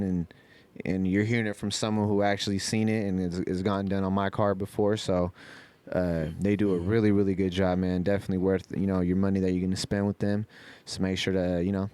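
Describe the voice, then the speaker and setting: A man's voice: sits low, darkish tone, clean tone. A man with an American accent talking casually and slangily as a podcast host. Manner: slangy, informal. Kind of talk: casual chat and sponsor shout-outs.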